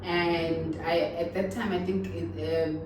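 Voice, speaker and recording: A woman in her thirties with a Xhosa South African accent talks about her life in a deep, big voice, pausing to think and laughing easily. She sits some way from the mic, and the room rings with strong echo under a faint hiss. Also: little pitch movement, a little mellow. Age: 30s. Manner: reflective, laughs often, mixes languages. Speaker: channel host. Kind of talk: sit-down personal conversation. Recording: echoey room, mic at a distance.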